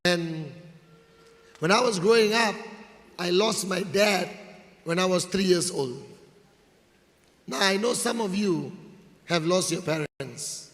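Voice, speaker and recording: A Malaysian-accented male motivational speaker with a high voice tells stories to an audience. He takes his time, repeating phrases for emphasis and checking in with listeners. His voice rings with long reverb in a large hall. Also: slow pace, pauses often, a bit bright. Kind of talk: motivational storytelling speech to an audience.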